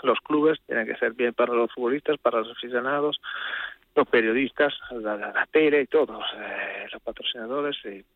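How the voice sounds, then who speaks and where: Brief: Italian man, gravelly, halting, heard over a tinny phone line. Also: medium pitch, no echo. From Italy. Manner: hesitant, searching for words. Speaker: guest. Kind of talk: phone interview.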